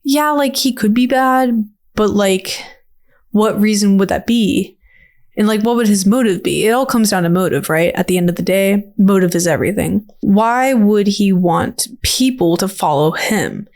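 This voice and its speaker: A woman's voice: medium pitch, moderate pace, darkish tone. A woman giving casual, rambling commentary on a video game trailer in a playful, slangy, informal way. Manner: informal, playful, slangy filler-heavy speech. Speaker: video creator and host.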